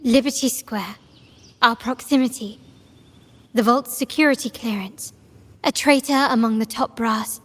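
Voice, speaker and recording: A woman playing a composed, shrewd young heiress in a game, speaking formally and deliberately. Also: fairly high pitch. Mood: grave, troubled. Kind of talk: dramatic game dialogue. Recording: reverberant hall, music underneath. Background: music.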